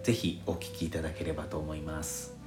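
A man's voice: rumbling low, level tone, a little fast. Courteous male podcaster, roomy sound. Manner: courteous, formal phrasing. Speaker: podcast host. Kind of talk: polite podcast chat reading listener letters. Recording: roomy echo, faint background music. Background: soft lo-fi flute jazz music.

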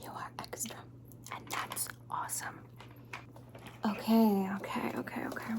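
Whispering woman, soft repetitive ASMR. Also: a little high, little pitch movement, a little slow. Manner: repeats words and short phrases over and over; soothing, coaxing, playful. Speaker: ASMR performer. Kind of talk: whispered ASMR personal-attention roleplay.

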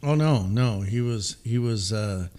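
Dry-witted male co-host, lively bouncing pitch, terse answers. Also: measured pace. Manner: short, dry replies. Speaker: podcast co-host. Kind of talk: casual podcast chat.

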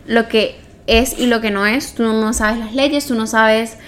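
A young adult woman with a fairly high voice and a Venezuelan Spanish accent. She talks as a podcast co-host in an informal, conversational way, reworking her sentences as she tells personal stories. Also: fairly even intonation, unhurried, fairly bright tone, slight background noise. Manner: informal, self-correcting storytelling. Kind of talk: casual personal chat.

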